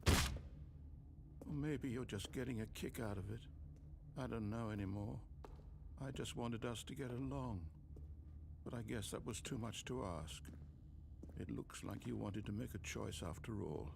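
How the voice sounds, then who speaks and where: An actor playing an anxious, pleading, theatrical narrator of a video game, speaking in wordy, earnest, dramatic lines. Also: male voice, mid-range voice, unhurried, gravelly, long pauses, background noise. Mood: resigned, hurt.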